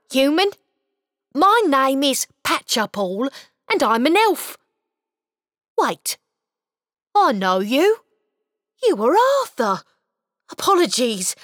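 A woman's voice: high voice, sing-song, takes it slowly, pauses often, fairly bright tone, no room sound, voice pushed up, full character voice. A tiny elf babbles apologies and explanations in a raised, bouncy voice, voiced by a woman storyteller. The sound is close and dry. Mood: flustered chatty. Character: small, shy, cheerful male elf.

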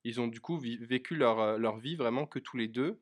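A man's voice: mid-range voice, a little fast. A young man co-hosting a podcast in a casual, conversational style. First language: French. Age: young adult. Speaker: podcast co-host. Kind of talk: casual chat.

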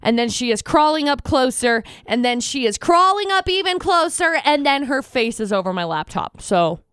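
A woman with a high, bright voice co-hosts a radio show. She banters casually and argues with emphasis, repeating her points to drive them home. The sound is close and dry. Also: very animated, normal speed, smooth, dry recording. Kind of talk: casual on-air debate banter.

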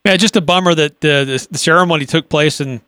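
A man's voice: on the high side, quick pace, pushed and bright, clear, resonant tone, no echo. A man hosting a sports radio show, asking questions in a conversational interview style.

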